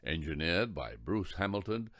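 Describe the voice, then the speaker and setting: A man's voice: low voice, sing-song, normal speed, a bit bright. A man reading scripted narration for a radio play.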